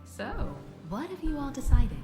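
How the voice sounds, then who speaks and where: A woman's voice: high-pitched, sing-song, unhurried. A woman playing a composed, gracious starship navigator in a game, polite and authoritative. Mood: composed, decisive. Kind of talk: scripted game dialogue. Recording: echoey hall, loud music behind.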